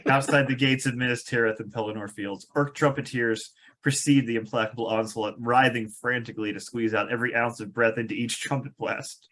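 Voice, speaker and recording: A man's voice: medium pitch, fairly even intonation, fairly quick, few pauses, fairly bright tone. Wry man narrating a script aloud. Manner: amused, sardonic. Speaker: narrator reading stage directions. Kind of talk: table read of a film script with wry asides.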